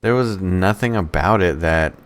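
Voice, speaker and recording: A man's voice: deep voice, moderate pace, clear, resonant tone. A man co-hosting a podcast, chatting casually about films in profane, slangy language. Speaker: podcast co-host.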